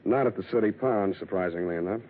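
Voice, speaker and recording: An American actor playing a wisecracking, hard-boiled private detective in a deadpan, sardonic 1940s radio style. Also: male voice, lowish voice, fast talker, a little hiss or hum, close to an everyday voice. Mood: dry, wry. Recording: tinny band-limited old radio broadcast, music.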